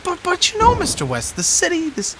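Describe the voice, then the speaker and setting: Formal interrogator with swooping intonation. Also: male voice, extremely high pitch, wildly swooping pitch, bright, forceful tone, slight background noise, heavily acted, low-quality recording. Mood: musing, sly. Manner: formal, measured, florid. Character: composed, eloquent, eerily poetic interviewer questioning a policeman. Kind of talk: noir video game cutscene acting. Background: faint music.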